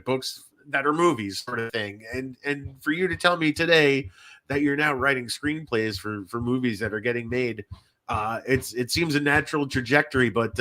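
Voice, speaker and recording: A man's voice: medium pitch, sharp tone. A man hosting a podcast in casual chat, rambling and repeating himself, with some swearing. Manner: rambling, repetitive, frequently swearing. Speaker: podcast host.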